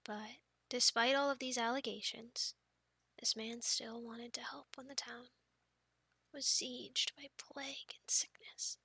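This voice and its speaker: A woman playing a playful, expressive young friend telling stories in an ASMR roleplay, with breathy touches in her delivery. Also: on the high side, a little slow, dry recording, full character voice. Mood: hushed, solemn. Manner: expressive, teasing, breaking into breathy near-whispers.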